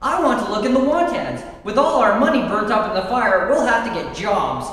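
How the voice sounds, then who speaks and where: A woman's voice: medium pitch, animated, brisk, fairly bright tone, close to an everyday voice. A woman playing a cheeky, eager growing boy sidekick in an old-time radio play. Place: theatre stage, live performance. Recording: echoey hall stage, light noise.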